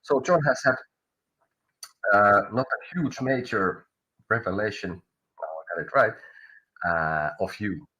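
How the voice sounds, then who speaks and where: A man with a Finnish accent and a low, husky voice gives a live tarot reading. He speaks haltingly and often restarts words while searching for them. His native language is Finnish. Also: pushed and bright, poor audio. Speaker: tarot reader.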